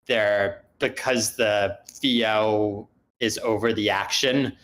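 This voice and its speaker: A man's voice: fairly low pitch, steady tone, takes it slowly, a bit bright, rough recording. A man giving spoken script feedback in a group discussion, speaking hesitantly and circling back to rephrase his points.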